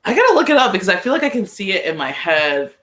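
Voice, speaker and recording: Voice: medium pitch, very animated, fast, fairly bright tone. A podcast guest giving casual, tentative opinions in a football discussion.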